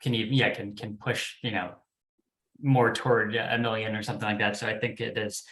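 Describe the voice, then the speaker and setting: A man's voice: lowish voice, little pitch movement, a little fast, fairly bright tone. A man answering as a panelist, conversational and tentative as he works through his thoughts. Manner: tentative, hedging delivery. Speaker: panelist, podcast development executive. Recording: echoey, reverberant room.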